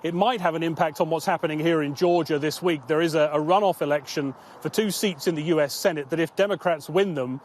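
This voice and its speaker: A man's voice: fairly high pitch, brisk, hardly any pauses. A man working as a news correspondent, giving a live explanatory report. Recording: outdoor report with clear background noise.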